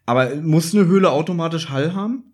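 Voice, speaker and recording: Male podcast co-host, slightly high voice, casual and playfully argumentative. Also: expressive, fairly quick. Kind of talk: casual chat, audio drama review.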